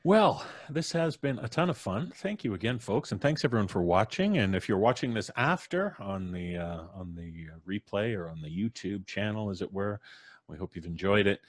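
A man's voice: lowish voice, lively intonation, moderate pace, no echo. A middle-aged man casually hosting a live stream, speaking into a studio microphone. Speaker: podcast host. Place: home recording booth on a video call. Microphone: studio mic on boom arm.

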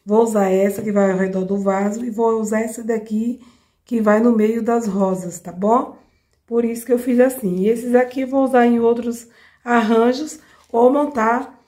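A Brazilian woman with a cold, her voice hoarse and mellow, walks viewers through a craft project at her work table. She talks in a chatty way and keeps checking in with the people watching. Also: on the high side. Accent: Brazilian Portuguese. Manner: chatty, addresses viewers directly with frequent checks. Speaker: craft tutorial host. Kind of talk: step-by-step craft demonstration. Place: home craft table, indoors.

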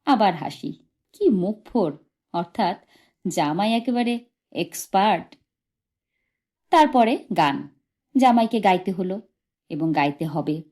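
A woman reading literary fiction aloud as an audiobook, in expressive scripted narration. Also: mid-range voice, slow, long pauses. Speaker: audiobook reader.

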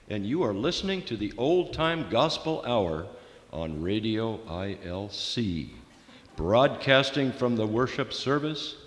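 Older male host, old-time radio announcer style for a church service, measured and declamatory, mid-range pitch, reverberant sanctuary. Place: large church sanctuary, speaking at lectern. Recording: echoey church hall with background noise.

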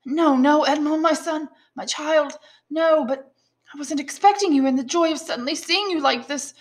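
A woman's voice: sits high, normal speed, pitched above the performer's own voice, natural voice. A woman reading an audiobook as a loving, fretful old father. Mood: overwhelmed. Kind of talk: audiobook storytelling.

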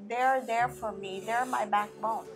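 A woman's voice: a little high, fairly even intonation, unhurried, over music. A woman who runs a hotel business, talking in a documentary interview.

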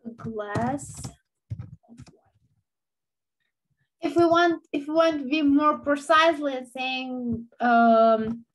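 A woman's voice: sits high, slow, long pauses, slight background noise, rough recording. A young woman with a Romanian accent, a native speaker teaching an online language lesson into her laptop webcam, patiently repeating words for learners.

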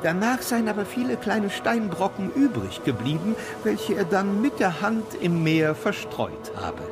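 An actor playing an admiring court companion, telling of a sea journey deliberately, like a storyteller, in a radio drama. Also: male voice, sits high, big pitch swings, over music, heavily acted. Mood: storytelling. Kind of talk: radio drama memoir reading.

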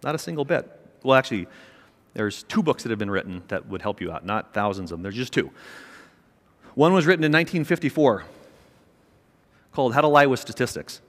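A middle-aged man giving an energetic, emphatic keynote speech on a large conference stage, with a small mic. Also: mid-range voice, fairly quick, pauses often. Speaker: keynote speaker, company chairman. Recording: long reverb of a large hall.

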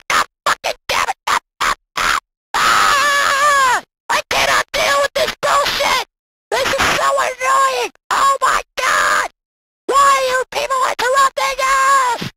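A woman's voice: very high voice, wildly swooping pitch, slow, very noisy, exaggerated voice. A woman playing an irritable, explosive male cartoon hedgehog co-host in an amateur audio drama. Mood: furious, ranting. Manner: irritable, explosive, shouts when thwarted. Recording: loud music behind the voice.

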